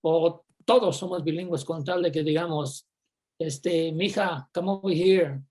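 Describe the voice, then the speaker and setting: A panel moderator poses long, winding questions to the speakers. The speech hesitates often, with fillers and repeated words, and sits at a middle pitch. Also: fairly even intonation, a little slow, a little mellow, husky.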